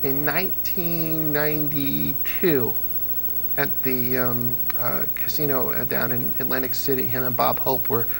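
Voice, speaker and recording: Gravelly-voiced man telling old radio stories. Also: medium pitch, unhurried, slight background noise, low-quality recording. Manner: animated storyteller, mimics voices in anecdotes. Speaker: interview guest, old-time radio fan. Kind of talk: casual anecdotal chat.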